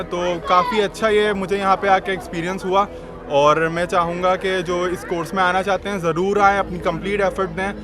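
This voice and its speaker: A man with a fairly high voice gives a student testimonial outdoors. Music is clearly heard behind his speech. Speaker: student on a workshop trip. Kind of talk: testimonial to camera. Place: outdoors at a lakeside resort. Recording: outdoor recording with music over it. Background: background music.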